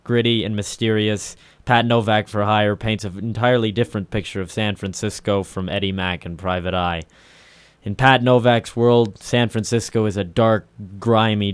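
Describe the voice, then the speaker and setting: A man's voice: fairly low pitch, clean tone, dry recording. A man presenting an old radio series with a scripted, dramatic introduction. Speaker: show presenter.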